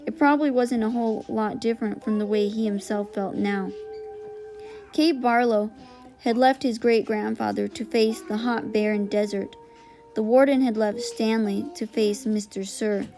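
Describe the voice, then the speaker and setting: A woman's voice: fairly high pitch, dark tone, poor audio. A woman narrator reading a children's novel aloud in audiobook style.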